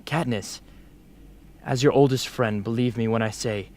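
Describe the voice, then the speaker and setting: A man playing a loyal, earnest young friend in an amateur radio drama, with a somewhat halting delivery. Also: mid-range voice, darkish tone, lightly acted.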